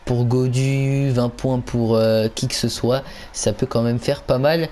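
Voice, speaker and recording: A man's voice: mid-range voice, steady tone, a little slow, dark tone, slight background noise. A man giving casual commentary on a video game, hesitant and stumbling, often repeating himself. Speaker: gaming channel host.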